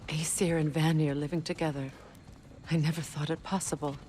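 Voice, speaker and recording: A woman's voice: sits low, level tone, a little fast, a little mellow, faint music behind, lightly acted. A woman playing a gracious, solemn regal figure in a fantasy video game, formal and composed.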